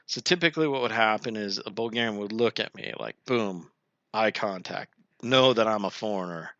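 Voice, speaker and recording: A man's voice: lowish voice, pushed and bright, no room sound. A man hosting a solo podcast, rambling through his thoughts with lots of fillers and tangents. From United States. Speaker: podcast host. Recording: dull lo-fi sound, dry.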